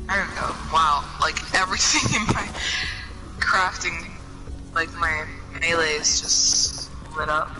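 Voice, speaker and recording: A teenage girl chatting while she plays, often puzzled and distracted, with a run of quick exclamations. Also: lowish voice, rough recording. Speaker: gamer on voice chat. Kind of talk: casual game chat. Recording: game music playing clearly behind. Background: game music.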